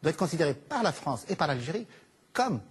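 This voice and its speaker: A man's voice: on the high side, big pitch swings, brisk, breathy edge. A man of Algerian origin, an author answering interview questions earnestly, with hesitant starts and repeated words. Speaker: author guest. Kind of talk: studio interview.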